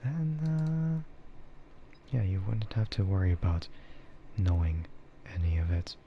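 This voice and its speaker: A man chatting casually in a soft, half-whispered ASMR style, rambling and hesitant as he streams close to the microphone. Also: sits low, a little slow, muffled recording, slight background noise. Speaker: VTuber streamer.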